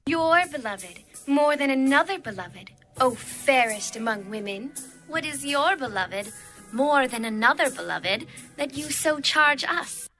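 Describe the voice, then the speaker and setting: A woman's voice: sits high, animated, unhurried, bright, forceful tone, soft background music, heavily acted. A woman playing a questioning young maiden of Jerusalem in a dramatized scripture reading. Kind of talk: dramatized audio Bible reading.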